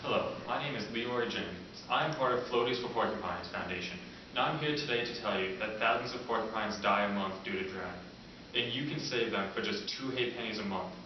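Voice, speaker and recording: A man's voice: lowish voice, a little fast. A man delivering a scripted charity appeal as the spokesman in a mock advert. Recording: echoey, muffled, noisy, distant mic.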